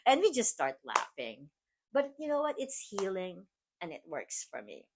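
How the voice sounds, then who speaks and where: A woman's voice: medium pitch, huge pitch swings, normal speed, background noise. A middle-aged woman from the Philippines with a Filipino accent, hosting a podcast and speaking earnestly about self-love, with frequent "like" and restarts. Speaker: podcast host. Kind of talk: personal motivational talk.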